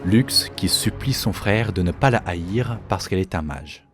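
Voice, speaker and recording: A man's voice: deep voice, animated, a little slow, loud music behind the voice. A man giving explanatory commentary as a video game lore creator.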